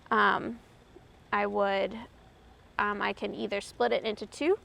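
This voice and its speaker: Woman in her thirties, gravelly edge, mid pitch, relaxed chat with lots of ums. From Maryland, USA. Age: 30s. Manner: relaxed, chatty, frequent ums while demonstrating spinning. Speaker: fiber artist. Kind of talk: casual explanation of her craft.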